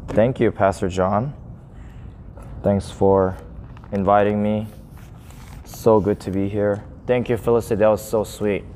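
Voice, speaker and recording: A man's voice: fairly low pitch, steady tone, a little slow, long pauses, mellow. A man in his twenties, raised in Japan after birth in South Korea, giving a chatty, self-deprecating introduction as a guest preacher. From born in South Korea, grew up in Japan. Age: 20s. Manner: friendly, self-deprecating, laughs often, many fillers. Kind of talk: casual, chatty sermon introduction. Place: church sanctuary. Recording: long church reverb, faint noise.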